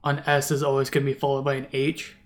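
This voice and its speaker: A man's voice: medium pitch, monotone, fast. A man co-hosting a casual album review podcast, talking in a rambling way with many restarts and fillers. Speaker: podcast co-host.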